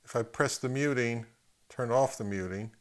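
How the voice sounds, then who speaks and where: Man casually reviewing gear, thinking aloud. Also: medium pitch. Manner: halting, thinking aloud with repeated words. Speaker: host of an audio gear video channel. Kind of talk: casual unscripted equipment review commentary.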